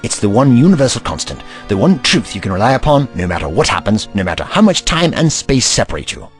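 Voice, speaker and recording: An actor playing an urgent, protective alien doctor and investigator in an audio drama, articulate and authoritative. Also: male voice, mid-range voice, very animated, fast talker, soft background music, close to an everyday voice. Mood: thoughtful.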